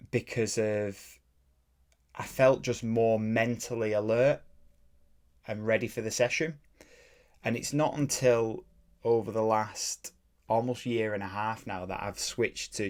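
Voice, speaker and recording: A man, a fitness coach, talks casually on his own podcast in a plain, even voice, rambling and correcting himself as he goes, and sometimes slipping into a mild rant. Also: lowish voice. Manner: rambling, self-correcting, drops into mild ranting. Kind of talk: casual solo podcast talk.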